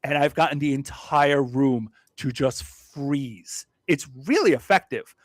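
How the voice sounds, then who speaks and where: A man from Brooklyn talking as a podcast guest, measured and self-deprecating, with frequent hedges and restarts. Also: mid-range voice, no echo, clean studio sound. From Brooklyn, New York. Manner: frequent hedging fillers and restarts. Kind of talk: casual interview conversation.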